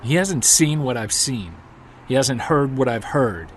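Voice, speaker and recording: A male actor as a determined amateur investigator in an audio drama, with a mid-pitched voice. He talks plainly and earnestly, like someone keeping a spoken journal, over faint vehicle-like background noise. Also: big pitch swings, measured pace. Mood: troubled, resolute. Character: determined, stubborn male amateur investigator chasing a creature mystery. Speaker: investigator and narrator.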